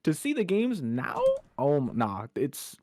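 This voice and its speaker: A man co-hosting a casual gaming podcast, relaxed and enthusiastic as he chats. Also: on the high side, a little slow, dry recording. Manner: relaxed, enthusiastic about games. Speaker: podcast co-host.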